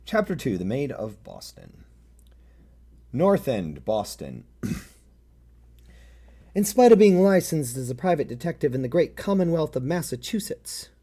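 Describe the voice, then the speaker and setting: Man performing a world-weary noir detective, mellow tone, fatalistic, brooding, dramatic first-person story narration. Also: mid-range voice, sing-song, a little slow, long pauses, husky, full character voice. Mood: scene-setting.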